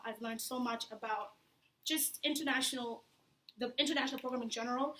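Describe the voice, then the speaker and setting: Bright-voiced young woman giving a speech. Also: fairly high pitch. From Tanzania. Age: young adult. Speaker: student election candidate. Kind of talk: informal campaign speech to an audience. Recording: echoey room with faint background noise.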